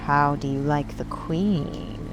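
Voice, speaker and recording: Woman voicing Cheshire Cat, slow, low and sly. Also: over music, poor audio. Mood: languid. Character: Cheshire Cat, languid, sly, grinning magical cat. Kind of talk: dramatic audiobook reading.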